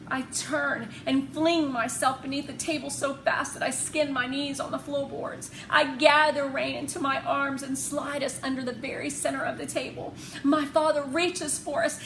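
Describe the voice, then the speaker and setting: A woman narrating a children's novel expressively, with deliberate, dramatic phrasing. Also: high voice, a bit bright. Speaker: read-aloud narrator. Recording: roomy, noisy home recording.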